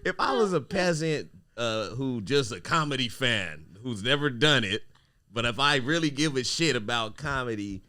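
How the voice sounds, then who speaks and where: A man's voice: mid-range voice, animated, moderate pace, bright, forceful tone, dry recording, studio quality. A man co-hosting a podcast, chatting loosely and joking while he laughs along with his guests. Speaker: podcast co-host. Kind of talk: casual podcast chat.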